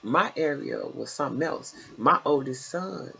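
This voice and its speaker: Lady in her 50s, raspy voice w lots of fry, blunt casual interviewer. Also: low-pitched, animated, normal speed, fairly bright tone. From Chicago, United States. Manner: blunt, familiar, encouraging. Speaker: interviewer and host.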